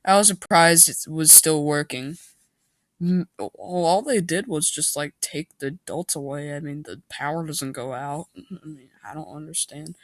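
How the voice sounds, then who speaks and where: A man's voice: fairly high pitch, a little slow, fairly bright tone, no echo. Man mockingly reading a story, dry sound. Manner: sarcastic, exaggerated delivery. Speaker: amateur reader of a story. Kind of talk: mocking dramatic reading with sarcastic asides.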